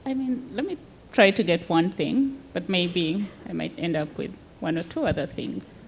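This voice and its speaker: A woman from Uganda speaking as a panelist in a measured, deliberate way. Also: fairly low pitch, gravelly, slight background noise. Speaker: panelist, health fellow. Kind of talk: panel discussion remarks. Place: luncheon event hall.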